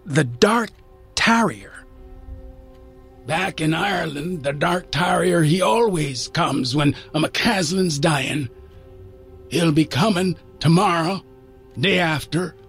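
A man voicing a dying old man, rough and pained, who speaks bluntly and haltingly in a told story. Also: a little high, faint music behind, voice pushed up. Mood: urgent, grave. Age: older. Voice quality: rough, pained, rasping, coughing.

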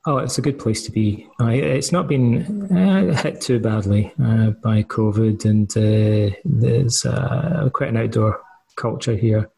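A man's voice: on the low side, takes it slowly, gravelly, dark tone, slightly rough. A middle-aged Scottish man speaking as a podcast guest, thoughtful and hesitant with fillers and restarts. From Scotland. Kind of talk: conversational interview answers.

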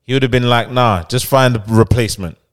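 A man's voice: medium pitch, brisk. A man with a London accent hosting a podcast in casual, slangy, opinionated banter. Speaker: podcast host.

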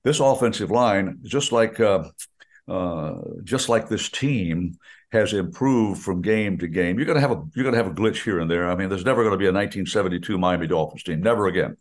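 A man's voice: low-pitched, brisk. An older man, a radio play-by-play announcer, chatting about football in a folksy, rambling way with many restarts. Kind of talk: rambling radio sports chat.

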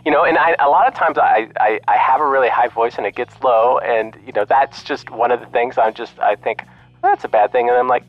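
A man voicing an upbeat woman from a frowning meme, rambling and stammering, her voice jumping from very high to low. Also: a little fast, no echo, lo-fi sound, soft background music, lightly acted. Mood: chirpy.

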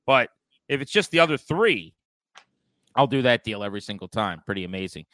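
A man hosts a conversational sports podcast in a bright, punchy voice. His tone is smooth and clear, at a middling pitch for a man. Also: very animated, brisk, no room sound.

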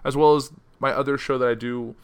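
A man's voice: medium pitch, steady tone, a little fast, clear, resonant tone. A young man hosting a solo news podcast, reading articles aloud and then chatting casually, his voice getting worn. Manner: reads quotes then chats casually with fillers. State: losing his voice.

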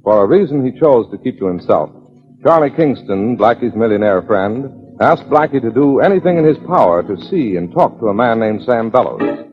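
A male announcer reads scripted narration in 1940s radio style. His medium-pitched voice rises and falls boldly over faint music, on a dull vintage recording. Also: animated, normal speed.

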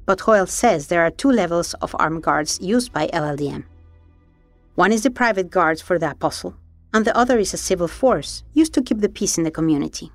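A woman hosting an investigative documentary, giving measured, serious scripted narration. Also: lowish voice, expressive, dry recording, faint music behind. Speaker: documentary podcast host and narrator.

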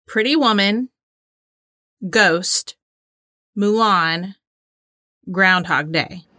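A woman's voice: medium pitch, drawn-out words, bright, forceful tone, no echo, clean studio sound. A woman co-hosting a casual, playful quiz chat. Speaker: co-host and quiz player.

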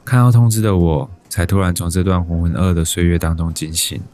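A man's voice: low-pitched, fairly even intonation, a little fast, a little mellow, lightly acted. An actor with a Taiwanese Mandarin accent playing a timid, despondent bank employee in his thirties, narrating his life introspectively in a radio drama. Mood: wistful, resigned. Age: 30s.

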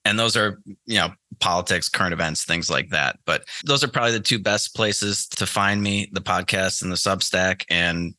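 A man's voice: low voice, moderate pace, hardly any pauses, sharp tone, no echo. A man speaking casually and with opinions as a guest in an interview, in an informal conversational way. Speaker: podcast guest, trading teacher. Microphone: close mic on an internet call.

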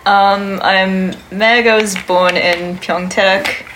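Slow-talking Australian woman. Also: mid-range voice, fairly even intonation, fairly bright tone. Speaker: guest. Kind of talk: casual conversation. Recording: noisy recording.

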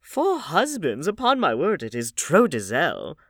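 A man voicing a lively, gossipy old aristocratic hostess in a theatrical, scolding, exclamatory way for an audiobook. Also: on the high side, moderate pace, dry recording, clean studio sound, voice pushed up, heavily acted. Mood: scandalized, curious.

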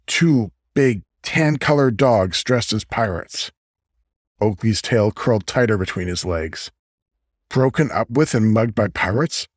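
A man narrates a piece of fiction from a script. His voice sits on the low side. The recording is very dry, with no room around it. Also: no echo. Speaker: audiobook narrator.

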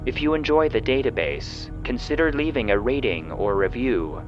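A man reading scripted narration and credits as a show's narrator, in a formal, measured announcing style. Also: medium pitch, animated, highs cut off. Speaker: producer and narrator. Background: music.

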